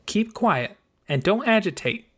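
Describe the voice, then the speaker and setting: A man voicing a composed young woman in an audiobook, a practical mentor giving brisk instructions. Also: high-pitched, big pitch swings, husky, pitched above the performer's own voice, full character voice. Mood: firm.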